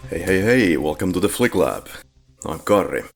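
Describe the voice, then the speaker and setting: Deep-voiced male podcast host. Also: expressive, normal speed, gravelly, faint music behind. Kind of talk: casual podcast hosting.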